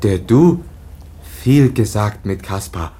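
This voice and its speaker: Kaspar Hauser, a childlike halting youth. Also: male voice, on the low side, lively intonation, warm tone. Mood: childlike wonder. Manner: halting broken simple speech, often whispering. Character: Kaspar Hauser, a childlike young man with broken speech. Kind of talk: radio play acting.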